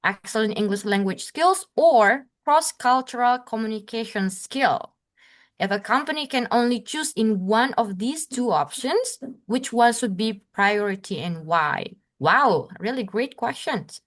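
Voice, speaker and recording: A woman's voice: a little high, lively intonation, measured pace. A woman moderating a webinar, carefully reading audience questions aloud.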